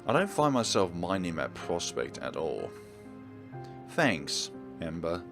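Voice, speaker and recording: A man's voice: low-pitched, wildly swooping pitch, moderate pace, music in the background. A man reading visual novel narration aloud and adding his own commentary as he plays.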